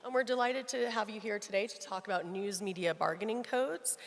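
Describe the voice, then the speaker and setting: A woman's voice: mid-range voice, fairly quick. A woman moderating a conference panel, welcoming guests and introducing speakers in a conversational way. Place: conference hall. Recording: echoey conference hall.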